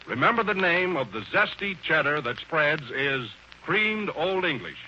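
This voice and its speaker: A man's voice: on the high side, very animated, measured pace, sharp tone. A man reading a 1930s radio commercial as announcer, with a polished, persuasive sales delivery. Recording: noisy, muffled vintage radio broadcast.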